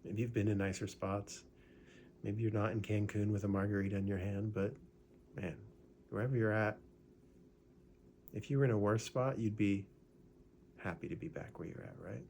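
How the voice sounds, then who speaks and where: A man leading a guided gratitude meditation in a relaxed, conversational way, often adding "right?" and small fillers. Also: on the low side, normal speed, pauses often, slight background noise.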